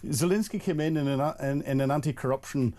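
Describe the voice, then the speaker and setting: Mellow-voiced man, measured with fillers. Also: fairly high pitch. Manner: measured, hesitant with many fillers. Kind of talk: panel commentary.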